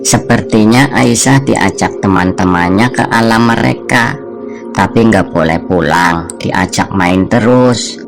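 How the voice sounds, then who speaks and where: An actor playing a calm village Islamic cleric who prays and gently explains, over loud music. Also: male voice, on the low side, gravelly. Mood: reassuring. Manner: calm, measured, reassuring. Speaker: ustadz praying over a sick girl.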